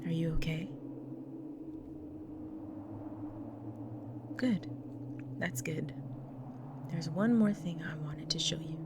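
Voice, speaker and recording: A woman playing a gentle, protective vampire wife in an intimate audio roleplay, speaking tenderly in a breathy, near-whispered voice. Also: deep voice. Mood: soothing, somber. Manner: tender, slow, soothing. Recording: noisy track with loud ambient sound.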